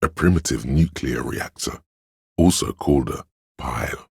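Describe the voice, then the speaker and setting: A man voicing a pedantic inner voice of encyclopedic knowledge in a game, narrating lore in a measured, learned, didactic way. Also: very deep voice, expressive, creaky, slightly rough, no room sound, clean studio sound, exaggerated voice. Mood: scholarly. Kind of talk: scripted narration, delivering trivia.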